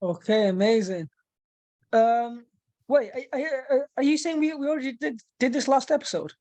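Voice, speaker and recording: A woman hosting a casual webinar, reading through a quick news roundup and often repeating words while she thinks. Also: high-pitched, warm tone, dry recording. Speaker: host. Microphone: video call mic.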